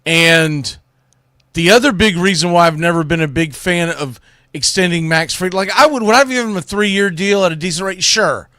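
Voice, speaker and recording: A man's voice: high-pitched, normal speed, pushed and bright, no echo, clean studio sound. A man hosting a sports radio show, giving blunt, opinionated, unscripted commentary with emphatic phrasing.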